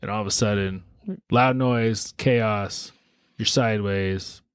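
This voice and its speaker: A man's voice: fairly low pitch, steady tone, slow pace, clean tone, dry recording. Wry man telling a story, dry mic. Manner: wry, teasing, builds up the story dramatically. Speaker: podcast co-host. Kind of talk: casual chat, storytelling.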